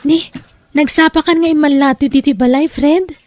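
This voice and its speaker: A woman plays a spirited young daughter in an audio drama. Her high voice is coy and a little scolding, recorded very dry. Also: fairly even intonation, moderate pace, no room sound, lightly acted. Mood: surprised, coy. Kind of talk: Ilocano audio drama acting.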